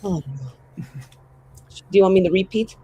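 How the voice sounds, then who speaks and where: A man chatting casually as a tabletop game player, informal, expressive and prone to swearing. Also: sits high, normal speed, husky, highs cut off. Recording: faint background noise.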